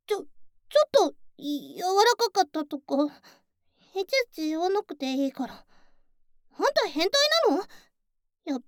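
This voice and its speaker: Woman playing a high-voiced prickly tsundere girl. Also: expressive, unhurried, pauses often, dry recording, clean studio sound. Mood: flustered. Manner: brusque, teasing, flustered. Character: prickly tsundere childhood friend, young woman, secretly caring. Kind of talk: ASMR situation voice drama acting.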